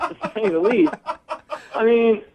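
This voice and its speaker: A man's voice: extremely high pitch, takes it slowly, lo-fi sound, background noise. A man talking candidly as a guest on a radio phone segment, blunt and sarcastic in an exasperated way.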